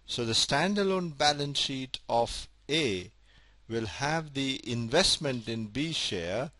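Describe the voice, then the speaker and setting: A man's voice: medium pitch, lively intonation, bright, forceful tone, dry recording. Methodical male lecturer, dry close sound. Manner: methodical, repeats key points slowly. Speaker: accounting lecturer. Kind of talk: recorded spreadsheet lecture.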